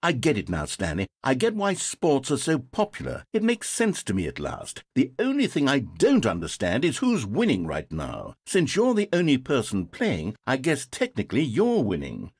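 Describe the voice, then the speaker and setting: A man's voice: mid-range voice, huge pitch swings, moderate pace, hardly any pauses, breathy edge, no echo, heavily acted. A man voicing a sardonic, theatrical game narrator, recorded in a studio. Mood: wry. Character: sardonic, theatrical male storyteller.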